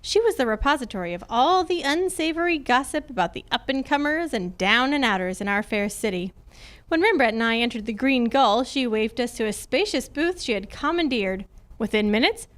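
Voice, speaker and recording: A woman's voice: fairly high pitch, expressive, normal speed, a bit bright. A woman playing a wry, businesslike private detective in an old-time radio mystery, both narrating and in dialogue. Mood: matter-of-fact narration. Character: brisk wisecracking female private detective Candy.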